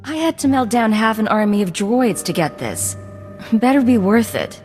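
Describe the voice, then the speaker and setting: An actress playing a composed imperial spy with a dry, level delivery. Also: female voice, on the high side, expressive, normal speed, a little mellow, soft background music, close to an everyday voice. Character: cool female imperial spy.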